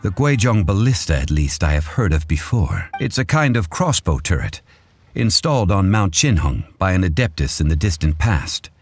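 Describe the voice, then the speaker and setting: Deep-voiced, learned gentleman. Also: big pitch swings. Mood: thoughtful. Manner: measured, formal, erudite. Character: refined, learned gentleman consultant, patient and composed. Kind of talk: video game voice acting. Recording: game music behind. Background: game music.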